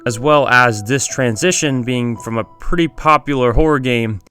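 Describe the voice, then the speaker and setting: A man narrates a scripted video essay at a medium pitch. Guitar music plays clearly behind his voice as he presents as the host. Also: normal speed.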